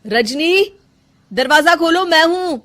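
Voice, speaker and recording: A woman acts out a young husband in a cartoon story. He sounds earnest, insistent and concerned, in a raised, lively pitch. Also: slow, heavily acted. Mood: urgent. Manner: insistent, questioning. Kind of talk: Hindi cartoon moral story dialogue.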